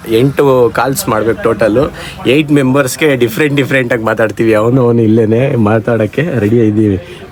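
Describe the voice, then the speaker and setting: A man with a Karnataka Kannada accent chatting casually as host of a prank-call vlog. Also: medium pitch.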